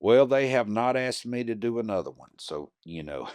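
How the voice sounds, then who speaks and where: A man, a guest actor telling stories in a casual interview, booming and chatty. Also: expressive, moderate pace, darkish tone, clean tone, no room sound, studio quality.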